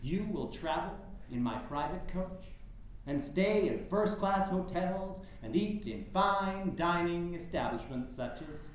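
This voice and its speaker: A man's voice: sits high, animated, a little slow. A man playing a rich, pompous political showman who woos and interrupts in grand oratory, in a staged play reading. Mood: grandiose, flattering. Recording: very echoey hall, faint background noise.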